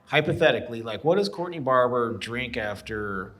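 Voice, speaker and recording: A man's voice: mid-range voice, expressive, normal speed, a bit bright. A man co-hosting a casual podcast, joking loosely and swearing now and then. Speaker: podcast co-host. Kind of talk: casual chat.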